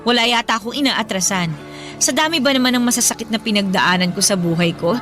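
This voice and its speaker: An actress plays a young Filipino woman on a date, with a fairly high voice. She banters playfully and speaks emphatically, as in a radio romance drama. Music plays behind her. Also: lively intonation, fast, close to an everyday voice. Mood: teasing, feisty. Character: spirited, teasing young office woman on a first date. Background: background music.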